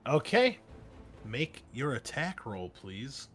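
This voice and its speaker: A man's voice: medium pitch, big pitch swings, slow, a bit bright, slight background noise. A man running a tabletop roleplaying game as game master, switching between dramatic narration and casual rulings. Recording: music behind the voice.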